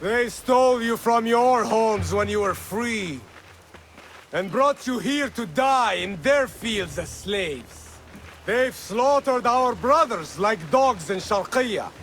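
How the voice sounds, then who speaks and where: An actor playing a male rebel leader who rouses his followers, speaking in a slow, oratorical way. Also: high-pitched, expressive, fairly bright tone, slight background noise, heavily acted. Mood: rousing. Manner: rousing, oratorical. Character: male rebel leader, fiery preacher of revolt. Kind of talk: video game cutscene acting. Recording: game audio with music.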